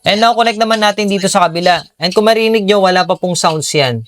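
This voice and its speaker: A man's voice: high voice, fast talker, a bit bright, dry recording. A Filipino man giving a casual, upbeat product tutorial in a conversational way, often addressing his viewers directly. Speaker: product reviewer and tutorial presenter.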